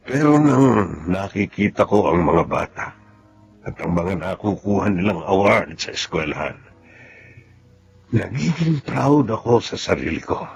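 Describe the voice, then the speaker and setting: A man's voice: deep voice, creaky, breathy edge, exaggerated voice. A man acting an earnest, hardworking Filipino father who went back to school late in life, in a radio drama.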